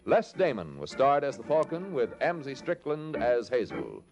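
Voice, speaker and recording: A man's voice: mid-range voice, wildly swooping pitch, moderate pace, loud music behind the voice. An American man announcing on 1950s radio in a hearty, polished, scripted sales delivery. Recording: dull, band-limited old radio recording.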